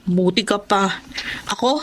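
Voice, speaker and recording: A woman's voice: mid-range voice, a little slow, fairly bright tone, rough recording. A woman acting a long-suffering young wife, fed up and complaining, in a Filipino radio drama. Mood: weary, confiding. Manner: emotive, complaining.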